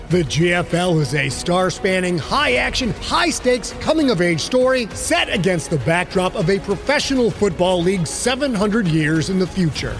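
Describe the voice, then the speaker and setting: Male ad announcer, animated high voice, clear music bed. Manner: punchy, hyped promo delivery. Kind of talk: podcast promo read.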